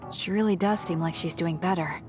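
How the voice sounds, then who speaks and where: A woman voicing a level-headed young woman in a video game, speaking calmly and directly. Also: fairly low pitch, fairly quick, natural voice. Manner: calm and direct. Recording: music under the dialogue.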